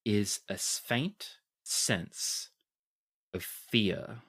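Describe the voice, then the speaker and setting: A game master narrating a tabletop game with deliberate, dramatic scene-setting. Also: low-pitched, fairly bright tone, husky.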